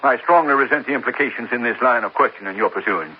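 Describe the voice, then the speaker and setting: An actor playing a stuffy, haughty businessman in a 1950s radio drama, pompous, clipped and quick to take offense. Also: mid-range voice, husky, lightly acted. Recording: dull old radio recording with faint hiss.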